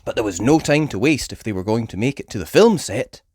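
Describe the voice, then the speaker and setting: A woman reading a children's story aloud in an animated storytelling style. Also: extremely low pitch, a little fast, breathy edge, dry recording, studio quality.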